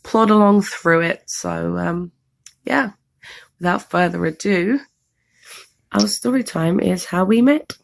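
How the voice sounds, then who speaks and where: A London woman, hoarse from a cold, talks in a low, gravelly voice. She rambles through a casual story time with lots of fillers. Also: slow, pauses often. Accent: London English. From England, London. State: losing her voice from a cold. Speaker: vlogger co-host.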